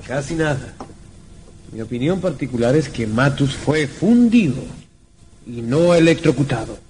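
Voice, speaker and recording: A man's voice: mid-range voice, lively intonation, a little slow, a little mellow, lightly acted. An actor playing a blunt, baffled male forensic doctor in an old radio drama, speaking emphatically. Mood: wry, baffled. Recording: old radio recording, faint noise.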